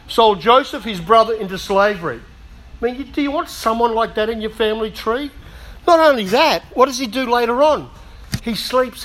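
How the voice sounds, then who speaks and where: Hoarse pastor, high voice. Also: male voice, fairly bright tone, slight background noise, rough recording. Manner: conversational, self-deprecating humour, frequent asides. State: losing his voice. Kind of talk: sermon.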